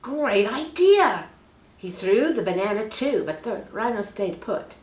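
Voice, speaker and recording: A woman reading a children's story aloud in an expressive, animated storytelling style, addressing young listeners directly. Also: mid-range voice, normal speed. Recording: roomy, echoing room.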